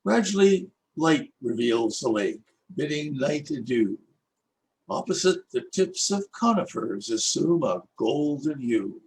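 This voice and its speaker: An older Canadian man reading his own rhyming poems aloud in a measured, lilting recitation. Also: medium pitch, slight background noise, low-quality recording. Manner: lilting, expressive recitation with rhythmic rhyme. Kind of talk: slow, measured poetry reading.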